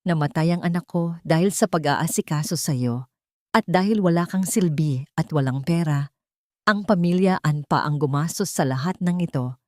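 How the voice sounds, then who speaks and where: Audio drama villain: cruel older mother-in-law, played by a female narrator, contemptuous, bossy, lowish voice, very dry recording. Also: normal speed, a little mellow, dry recording, close to an everyday voice. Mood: contemptuous, cold. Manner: scornful, commanding.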